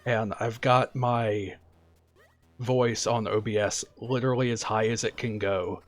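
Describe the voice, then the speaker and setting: A man streaming a video game, talking casually and quietly about what he's doing in a relaxed, chatty way. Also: lowish voice, unhurried, faint music behind. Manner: soft-spoken, relaxed and chatty. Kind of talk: casual gameplay commentary.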